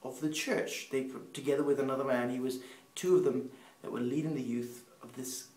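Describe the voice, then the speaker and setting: Man, 30s, English accent tinged by Peruvian Spanish, measured testimony, echoey room. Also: mid-range voice, fairly even intonation, a little mellow. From Peru. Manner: unhurried, pausing, with restarts. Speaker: speaker sharing family testimony. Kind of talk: personal faith storytelling. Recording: roomy sound from a distant mic.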